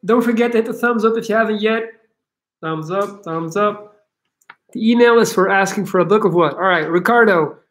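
A man's voice: high voice, moderate pace, fairly bright tone. Chatty upbeat man on a laptop mic. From Belgium. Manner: upbeat, chatty, reads out viewer comments. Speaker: livestream host, coach. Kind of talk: casual livestream chat with viewers. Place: living room at home, indoors. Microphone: laptop webcam mic.

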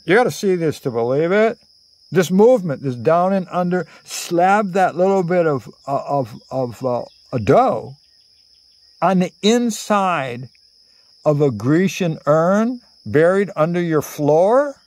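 A man in his sixties telling a rambling, improvised story in a theatrical, halting way, full of digressions and pauses. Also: on the high side, expressive, darkish tone, no echo. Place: outdoors under cover among greenery. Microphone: phone at arm's length.

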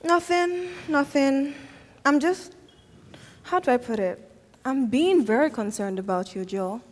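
A woman's voice: high-pitched, lively intonation, a little slow, in a large hall, voice pushed up. A woman voicing an eager, flustered woman trying to get a date. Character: pushy woman chasing a date. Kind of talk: hobby voice drama.

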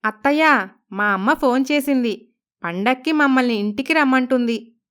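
A young daughter-in-law in a cartoon family tale, played by a woman. The voice is high, smooth and clear. She speaks respectfully and coaxingly, with a quietly crafty, persuasive way of putting things. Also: moderate pace. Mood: polite. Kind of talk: Telugu animated moral story voice acting.

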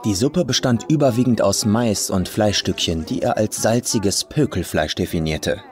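Male audiobook narrator, horror fiction: lowish, expressive, atmospheric, over faint music. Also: moderate pace. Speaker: professional voice actor, audiobook reader. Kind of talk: scripted horror story narration.

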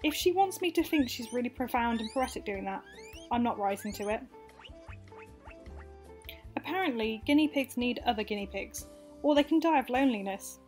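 A woman's voice: a little high, animated, moderate pace, pauses often, a little mellow, faint music behind. A young woman acting out a wry, frank, confessional monologue as narrator of a radio play. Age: young adult.

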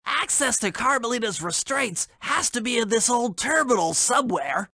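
Very high-voiced nerdy turtle genius. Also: male voice, very animated, moderate pace, bright, forceful tone, no room sound, studio quality, full character voice. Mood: fussy, nervous. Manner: fussy, bookish, wordy. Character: nerdy, anxious young male turtle tech genius. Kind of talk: video game voice acting.

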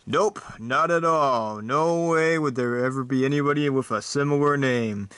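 A boastful, clueless superhero, voiced by a man rambles on with bumbling confidence. He speaks near an everyday man's middle pitch. The recording is dry and close. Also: normal speed, a bit bright, clear, resonant tone, dry recording, close to an everyday voice.

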